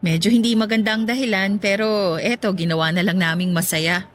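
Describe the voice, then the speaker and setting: A woman playing an affectionate, teasing younger married woman in a Filipino radio drama, speaking in a gently reassuring way. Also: mid-range voice, steady tone, fairly quick, slight background noise, natural voice. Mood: light, curious.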